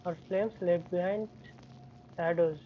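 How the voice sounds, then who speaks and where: A woman game streamer reacting casually as she plays, muttering halting asides. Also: lowish voice, fairly even intonation. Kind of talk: casual live gameplay reaction. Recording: dull stream mic with background noise.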